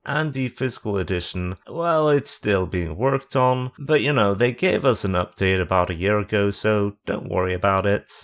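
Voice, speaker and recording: A man with a somewhat low voice narrates a scripted commentary video. He reads through the facts steadily, then slips in dry, sarcastic jabs. The delivery stays plain and unhurried. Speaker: video essayist.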